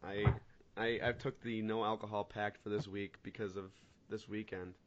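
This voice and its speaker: A man's voice: fairly low pitch, moderate pace, noisy background. A man in his mid-twenties co-hosting a casual chat, telling rambling stories with laughs. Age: 20s. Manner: rambling, animated storytelling with laughs. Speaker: podcast co-host. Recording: dull lo-fi audio.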